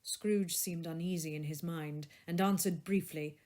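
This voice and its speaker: A woman reading literary fiction as an audiobook narrator, with expressive scripted delivery. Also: on the low side, a little slow.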